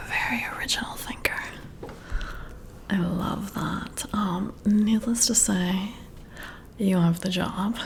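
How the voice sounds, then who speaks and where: A woman whispering up close in an ASMR roleplay as a flirty, intrigued boss, breathy and intimate with drawn-out pauses. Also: medium pitch, a little mellow, slight background noise. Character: flirty, intrigued female boss giving a job interview. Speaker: ASMR performer role-playing a flirty new boss. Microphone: close-up ASMR microphone.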